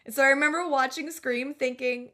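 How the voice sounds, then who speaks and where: A woman's voice: high voice, steady tone, a little fast, pushed and bright. A middle-aged woman chatting casually as a podcast co-host. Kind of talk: casual chat.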